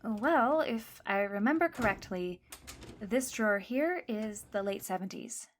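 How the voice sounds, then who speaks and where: A woman's voice: medium pitch, animated, a little slow, slight background noise. Tentative schoolgirl sleuth. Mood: helpful, matter-of-fact. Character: hesitant, bookish, helpful schoolgirl sleuth Florence. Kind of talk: audio drama dialogue.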